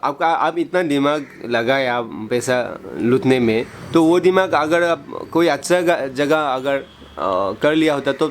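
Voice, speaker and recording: A man earnestly admonishing someone in a halting, repetitive way on a phone call. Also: medium pitch, a little hiss or hum. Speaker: host confronting a scammer.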